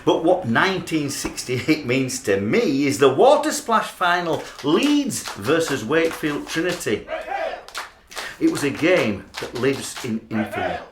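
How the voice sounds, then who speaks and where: An earnest, obsessive rugby fan, acted by a man, dramatic and aggrieved. His pitch is high and very animated, in a roomy radio play recording. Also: a little slow. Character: earnest, obsessive male rugby league fan, trainee social worker. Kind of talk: radio play monologue and dialogue. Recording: roomy amateur recording, faint background noise.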